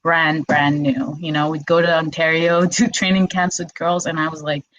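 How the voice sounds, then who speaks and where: A woman's voice: low-pitched, flat delivery, moderate pace, a bit bright, poor audio. A woman in her twenties from Quebec, a wrestler chatting casually as a guest and telling her story with lots of "like". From Quebec, Canada. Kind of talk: casual interview chat.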